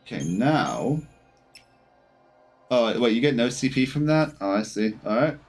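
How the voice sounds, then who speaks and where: A man streams a video game with casual commentary in a mid-pitched voice. He talks to himself and the game as he goes, with faint music behind. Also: very animated, a little slow.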